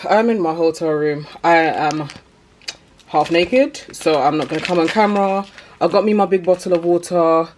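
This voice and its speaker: A woman's voice: lowish voice, background noise. A woman, chatting casually to viewers as a travel vlogger on a handheld phone camera. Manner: chatty, addresses viewers as "y'all". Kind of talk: casual vlog talk to camera. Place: street and shops of a city, in and out of doors.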